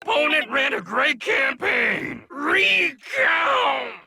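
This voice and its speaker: An actor voicing a bombastic, self-righteous patriotic politician in a fighting game, declaiming pompously like a stump speech. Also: male voice, very high-pitched, sing-song, takes it slowly, creaky, bright, forceful tone, full character voice. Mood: pompous, defiant. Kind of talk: video game character battle lines.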